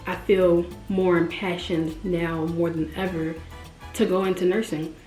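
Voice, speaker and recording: A chatty, expressive woman in her mid-twenties talks straight to her viewers in a loose, casual way. Her voice leaps upward when she stresses a point. The sound is roomy and echoey, with soft music underneath. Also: measured pace. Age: 20s. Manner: chatty and expressive, jumps up in pitch for emphasis. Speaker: vlogger. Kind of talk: casual direct-to-camera chat. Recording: roomy echo with faint music.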